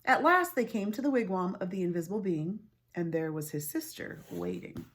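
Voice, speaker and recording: A woman reading a story aloud like a teacher, expressive and clearly phrased, with informal asides. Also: lowish voice, normal speed, slight background noise.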